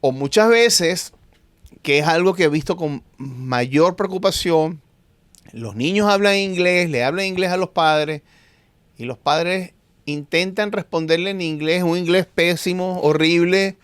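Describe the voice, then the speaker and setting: A middle-aged man speaks with a Venezuelan accent, pressing his points through repetition as a guest in a conversation. His voice sits on the higher side and sounds smooth and clear. The recording is dry and close. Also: unhurried, no echo. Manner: emphatic, repeats phrases for stress. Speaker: guest, eye doctor. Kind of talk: conversational interview.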